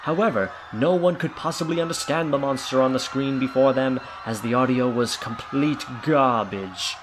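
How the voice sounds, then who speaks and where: A man's voice: mid-range voice, moderate pace. A man narrating a scripted audio drama in a theatrical storytelling manner, with noise behind him. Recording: clear background noise and ambience.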